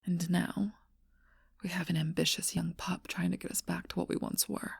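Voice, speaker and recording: An ancient, lonely vampire woman, whispered by a female performer breathes her words close to the mic in a slow, intimate hush. Her voice is deeply gravelly and breathy, pitched low and held flat, in a dry, close sound. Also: no room sound, full character voice. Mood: mournful whisper. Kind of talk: whispered ASMR role-play.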